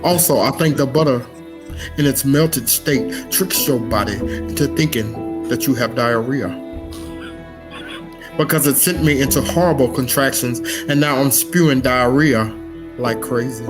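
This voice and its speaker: A man putting on a solemn, grave narrator for a mock-dramatic comedy reading, speaking in a slow, deliberate way. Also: mid-range voice, music in the background, lightly acted. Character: solemn dramatic narrator parodying a famous actor, recounting a mishap.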